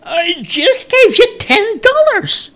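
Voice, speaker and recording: A lost traveller in a storybook tale, pitched far up high and swinging about as he pleads. He sounds exasperated and confused, exclaiming in slow, stretched phrases. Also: sing-song, a bit bright, heavily acted. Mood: indignant. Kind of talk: storybook character voice.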